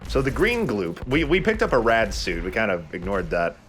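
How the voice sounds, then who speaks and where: A man's voice: fairly low pitch, huge pitch swings, moderate pace, fairly bright tone, slight background noise. A man hosting a let's play with chatty, casually sweary gaming commentary.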